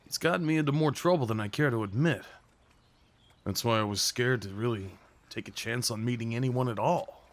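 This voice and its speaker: A man acting as a sweet, nervous gentleman on a first date, in an intimate audio roleplay. He speaks at a middling pitch, tender and earnest. His manner is hesitant and apologetic, as if unsure of himself. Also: brisk, lightly acted.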